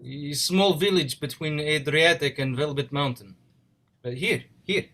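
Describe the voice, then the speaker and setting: A man plays an earnest immigrant engineer in a stage drama, in a slightly high male voice with an Eastern European accent. He is polite and proud, speaking formally in short clipped phrases with missing articles. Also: measured pace, pushed and bright, heavily acted. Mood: deferential. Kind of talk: dramatic play reading. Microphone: video call.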